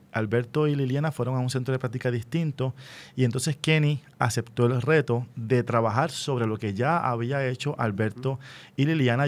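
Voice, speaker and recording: A Puerto Rican man hosting a conversational radio interview, explaining things and often checking in with small tag questions. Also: medium pitch.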